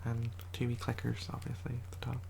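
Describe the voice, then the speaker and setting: An ASMR creator in a quiet house, whispering a soft, rambling show and tell and often correcting themselves, voice a little rough from a cold. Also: mid-range voice, monotone, brisk, a little hiss or hum. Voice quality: whispered, soft-spoken delivery. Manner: rambling, self-correcting, chatty.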